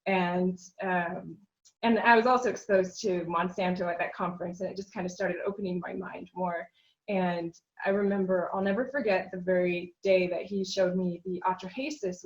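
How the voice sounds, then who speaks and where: A woman's voice: medium pitch, muffled recording. A woman guest on a podcast telling a long personal story in an earnest, rambling way, with frequent "um" and "you know.". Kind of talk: casual interview chat.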